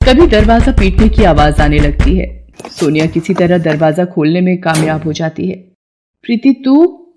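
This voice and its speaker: A woman's voice: fairly low pitch, moderate pace, dark tone, soft background music. A woman narrator reading a scripted moral story.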